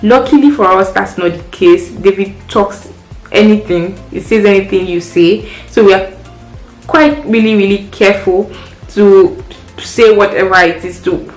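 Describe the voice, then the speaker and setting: A woman's voice: mid-range voice, unhurried. Chatty woman, echoey room. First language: Yoruba. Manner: chatty, friendly, practical tips. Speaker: parent vlogger. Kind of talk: casual advice vlog. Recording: echoey room with faint music.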